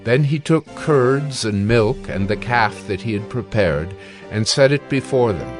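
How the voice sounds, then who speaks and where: A man narrating scripture from a script in a measured, formal manner. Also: mid-range voice, animated, music in the background.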